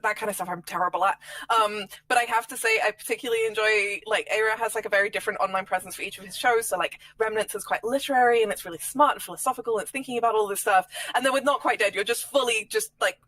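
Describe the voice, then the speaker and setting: A woman's voice: mid-range voice, sharp tone. A woman chatting casually on a podcast panel in a playful, joking manner. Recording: slightly distant from the mic.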